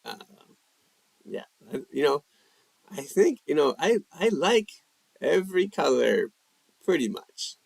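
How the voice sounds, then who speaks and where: A man's voice: fairly high pitch, very animated, takes it slowly, creaky, pauses often, dry recording. A man hosting a live language-practice stream, reading viewer questions from the chat and answering them casually with lots of fillers. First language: English. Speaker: livestream language host.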